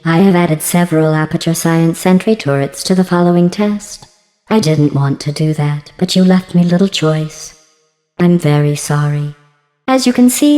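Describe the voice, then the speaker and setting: A woman voicing a passive-aggressive female artificial intelligence in a video game, deadpan and mock-sweet, with synthetic robotic processing. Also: lowish voice, long reverb tail. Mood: clinical, deadpan. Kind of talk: scripted video game dialogue.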